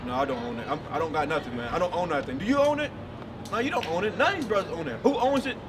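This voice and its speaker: A man's voice: on the high side, lively intonation, rapid-fire. A man preaching outdoors on a city street, emphatic and firing questions at his listener. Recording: distant mic with loud traffic noise.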